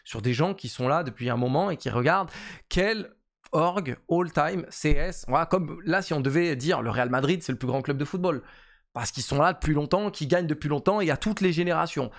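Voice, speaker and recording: A man in his thirties talks to camera about esports from his home streaming setup, speaking into a desk mic on a boom arm. His voice sits a little high for a man. He rushes along with plenty of fillers and repeated words. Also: vocal fry. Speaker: esports YouTuber. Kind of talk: casual esports commentary to camera.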